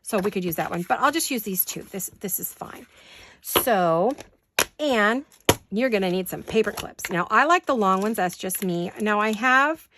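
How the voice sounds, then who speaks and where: A woman's voice: medium pitch, lively intonation, normal speed, noisy background. A woman hosting a casual craft-along, rambling and thinking aloud with folksy, playful exclamations. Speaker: craft vlog host. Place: home craft studio.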